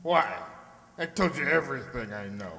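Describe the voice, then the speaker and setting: Man playing a jumpy, defensive hoodlum with a wildly swinging, sharp voice, radio mystery in a reverberant hall. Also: mid-range voice, lively intonation, moderate pace. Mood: surly, defensive. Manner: defensive, snapping. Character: surly, jumpy male crook cornered by police. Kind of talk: detective radio play acting.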